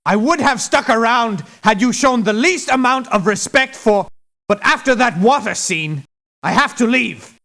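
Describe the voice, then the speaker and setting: An actor voicing an exasperated, dismissive, sarcastic mercenary in a video game. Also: male voice, very high-pitched, animated, a little slow, pushed and bright, heavily acted. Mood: fed up. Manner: sarcastic, scornful. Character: exasperated, dismissive, sharp-tongued male mercenary. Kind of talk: video game character voice lines.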